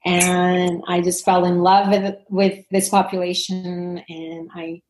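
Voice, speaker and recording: A woman's voice: lowish voice, flat delivery, slow, darkish tone, roomy sound, slight background noise, rough recording. A woman in her forties from Indonesia, talking chattily as an interview guest, laughing often and restarting her sentences. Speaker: parent coach, guest. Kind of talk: casual interview answers.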